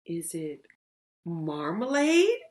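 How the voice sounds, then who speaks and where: A woman's voice: fairly low pitch, huge pitch swings, drawn-out words, a little mellow, exaggerated voice. A woman voicing a clever young storybook heroine who asks her questions hesitantly, with an innocent air. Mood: coy questioning. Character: clever young woman guessing a goblin's name. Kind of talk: storybook read-aloud character voice.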